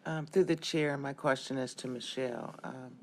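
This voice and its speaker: A woman with an extremely low voice questions people at a public meeting. She speaks informally and haltingly, as a councilwoman. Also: fairly even intonation.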